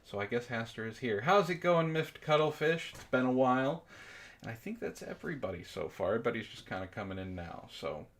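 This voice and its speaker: A man's voice: mid-range voice, animated, fairly quick, a bit bright. A man hosting a book club livestream in casual chat, jokey and playfully theatrical when he announces things.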